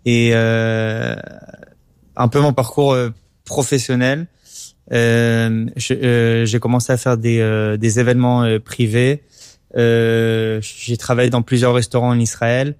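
A man in his thirties from Paris, a chef answering interview questions in a halting way, often repeating words as he searches. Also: mid-range voice, level tone, a little mellow, clean tone, dry recording, studio quality. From born in Paris, moved to Israel at twelve. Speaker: chef and restaurant owner.

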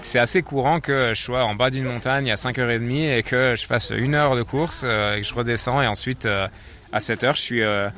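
A man's voice: medium pitch, a little fast, bright, forceful tone. A man from France with a French accent, answering casually and in an explanatory way as an interview guest. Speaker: interview guest, office professional working from home.

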